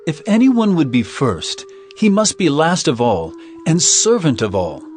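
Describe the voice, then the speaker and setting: A man's voice: fairly high pitch, wildly swooping pitch, a little slow, a little mellow, soft background music. An actor playing a stern, authoritative male teacher, speaking solemnly and with commanding emphasis in a dramatized scripture reading. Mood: solemn teaching.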